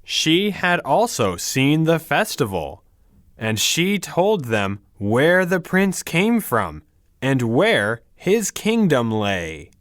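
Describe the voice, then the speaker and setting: A man reading English words and storybook narration from a script slowly and carefully, with deliberate, measured enunciation. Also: fairly high pitch, sing-song, a bit bright, no room sound, studio quality. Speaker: English reader for a vocabulary lesson.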